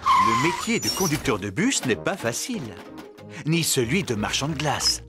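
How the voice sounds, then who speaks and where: A woman's voice: very deep voice, big pitch swings, normal speed, loud music behind the voice. A woman narrating a children's cartoon in French, as scripted storytelling.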